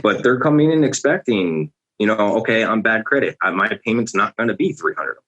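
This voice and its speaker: A man, a car dealership sales director, speaking as a podcast guest in a flat, monotone way. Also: on the low side, a little fast, highs cut off. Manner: self-described monotone, even delivery.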